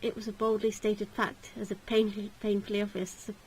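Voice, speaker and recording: Casting: female narrator, mid-pitched, dramatic storybook reading of gothic fiction, faint noise in the recording. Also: monotone, a little fast, a little mellow, poor audio. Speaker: narrator, game player reading aloud.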